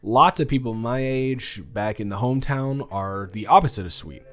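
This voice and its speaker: A man playing a sweet, playfully teasing young college student in a romantic audio roleplay, speaking casually and haltingly with repeated words. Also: mid-range voice, soft background music, natural voice. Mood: upbeat, chatty. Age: young adult.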